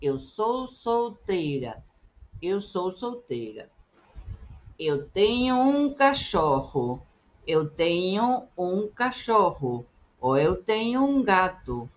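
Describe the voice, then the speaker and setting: A middle-aged woman teaching Portuguese, repeating short phrases slowly and with careful articulation for learners. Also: lowish voice, very animated, poor audio. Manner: slow, carefully articulated repetition. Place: indoors, webcam in a circle overlay.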